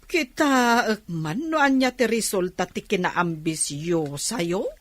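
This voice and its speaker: A woman playing a sour, meddlesome village auntie, sharp-tongued and scornful, in a comedy radio drama. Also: mid-range voice, expressive, slow, no room sound. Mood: scornful, needling.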